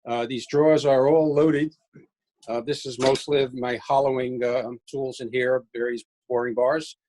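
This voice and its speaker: Male, sixties, New York accent. Woodturner as tour guide: informal, hesitant, wry, medium-pitched voice. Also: level tone, normal speed, a little mellow, a little hiss or hum, low-quality recording. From Brooklyn, New York City. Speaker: woodturner giving a workshop tour. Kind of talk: casual unscripted tour talk. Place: home basement-like workshop, indoors. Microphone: webcam, later handheld phone.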